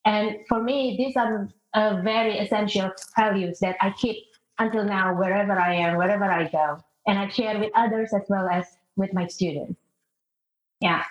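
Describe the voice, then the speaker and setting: A middle-aged woman with an Indonesian accent speaks in a reflective interview style. Her voice sits at an average pitch, and the sound is slightly muffled with clear room echo. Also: steady tone, moderate pace, soft background music. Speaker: podcast guest, international teacher. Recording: muffled, roomy call audio.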